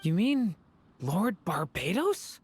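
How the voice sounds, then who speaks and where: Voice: medium pitch, sing-song, takes it slowly, slight background noise. An actor playing a polite, self-assured young dragon boy in a video game, composed and slightly proud. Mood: composed, confident. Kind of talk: video game character acting.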